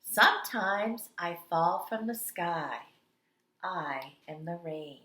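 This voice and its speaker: A woman kindergarten teacher reading aloud to young children with a dramatic, deliberate, expressive delivery. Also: on the low side, background noise. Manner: dramatic, deliberate delivery with strong swells and hushed endings. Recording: echoey, roomy sound.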